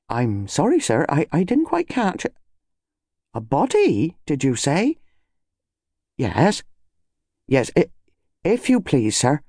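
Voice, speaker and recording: An officious English village constable , acted by a man, eager to defer to his betters. The delivery is animated and close in a storybook reading. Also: sits high, no echo, voice pushed up, full character voice.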